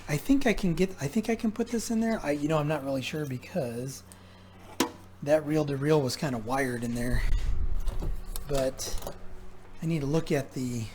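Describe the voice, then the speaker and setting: A man with a slightly high voice talks through hands-on repair work in a casual way. He muses and pauses as he thinks aloud. There is noticeable background noise on the recording. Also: darkish tone. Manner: musing, halting, thinking aloud. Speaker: repair vlogger. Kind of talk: casual hands-on workbench commentary. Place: indoor repair workshop.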